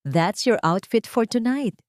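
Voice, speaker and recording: A woman's voice: on the high side, lively intonation, measured pace, dry recording, clean studio sound, pitched above the performer's own voice. Woman playing an arrogant, demanding rich Filipino husband. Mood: haughty, impatient. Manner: demanding, condescending. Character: arrogant, impatient rich husband bossing his wife.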